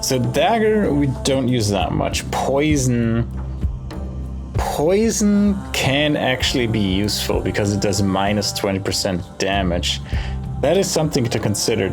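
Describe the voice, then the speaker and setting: A man giving casual gameplay commentary, thinking aloud in short, musing phrases with pauses between them. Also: on the low side. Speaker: let's play host. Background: game music.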